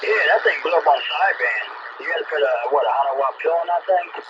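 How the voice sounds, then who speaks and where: A man's voice: fairly high pitch, expressive, fairly quick, fairly bright tone. A middle-aged man chatting casually over two-way radio in a joking, folksy way. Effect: two-way radio transmission. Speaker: amateur CB radio operator. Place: heard through a CB radio receiver. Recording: noisy, muffled CB radio signal.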